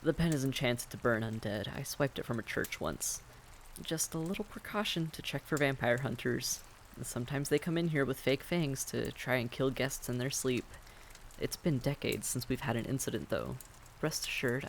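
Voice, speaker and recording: Man playing a creaky-voiced, friendly vampire innkeeper. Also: medium pitch, slight background noise, natural voice. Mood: reassuring. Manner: courteous, reassuring, conversational. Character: friendly, reassuring male vampire innkeeper, long undead. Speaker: innkeeper character in audio roleplay. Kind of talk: fantasy audio roleplay addressed to the listener.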